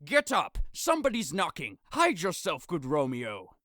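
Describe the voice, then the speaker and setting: A man's voice: fairly high pitch, huge pitch swings, measured pace, pushed and bright, no room sound, clean studio sound, exaggerated voice. A man playing an exasperated, scolding priest in a modern-language Shakespeare audio drama, speaking emphatically. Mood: panicked, urgent.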